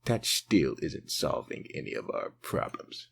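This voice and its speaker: A man voicing a meek, dryly polite police sidekick in a comic dub, deadpan and deferential, with a husky, creaky voice. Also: rumbling low, a little slow, exaggerated voice.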